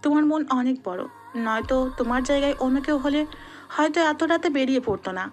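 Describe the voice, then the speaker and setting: A woman's voice: high voice, expressive, fast. A woman playing an anxious, affectionate young wife in an audio drama. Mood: grateful. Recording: background music under the voice.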